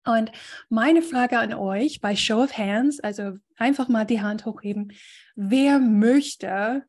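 Husky woman giving a talk on a video call. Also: fairly high pitch, takes it slowly, a little mellow. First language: German. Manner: thoughtful, many fillers, reads a definition aloud. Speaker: guest speaker and coach. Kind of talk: warm relaxed talk to a video call group.

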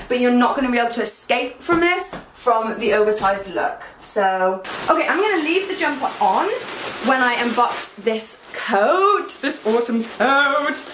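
A young woman with a croaky, hungover voice, chatting excitedly in a casual try-on haul vlog. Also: on the high side, slight background noise. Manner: excitable and gushing. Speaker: fashion vlogger. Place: small bedroom, indoors. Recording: echoey room.

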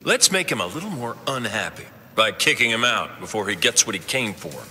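An actor playing a confident, steady superhero in a video game, plainspoken and encouraging. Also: male voice, fairly low pitch, fairly quick, in a large hall, crowd noise. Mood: steady, determined. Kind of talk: scripted video game acting. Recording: noisy game battle ambience.

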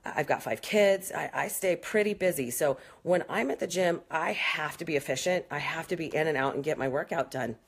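A woman's voice: sits low, normal speed, hardly any pauses, husky. A woman in her thirties, a fitness trainer, answering questions in a frank, chatty live stream with many fillers, filmed on a phone at arm's length in a small room. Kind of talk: casual live Q&A. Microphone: phone camera at arm's length.

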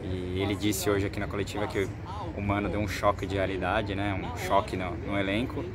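A Brazilian man in his twenties gives an informal football vlog report to camera, in a halting way with repeated words, speaking into a phone at arm's length outdoors. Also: sits low, flat delivery, over a noisy crowd. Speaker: sports reporter for a football fan channel. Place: outdoors on a football training pitch. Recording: outdoor noise with distant vehicles.

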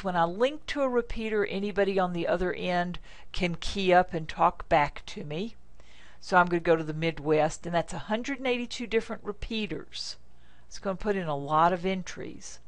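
An American woman demonstrating software step by step, speaking informally in short, deliberate instructional phrases. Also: lowish voice, dry recording. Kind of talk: step-by-step screencast tutorial narration.

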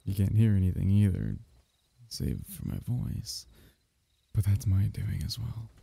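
A man voicing a possessive, soothing alien symbiote in an ASMR roleplay, speaking in a soft, coaxing, intimate way with breathy drops into whisper. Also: lowish voice, very animated, a little slow, no echo, heavily acted. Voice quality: husky, breathy, often dropping into whisper. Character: possessive, soothing alien symbiote, male, semi-liquid creature.

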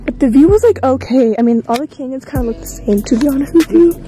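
Chatty young woman, filler-heavy travel vlog on a phone, slightly high voice over background music. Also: fast talker, warm tone. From San Francisco. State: out of breath. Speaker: vlogger. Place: outdoors on a canyon trail. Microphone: handheld phone at arm's length. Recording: music playing under voice.

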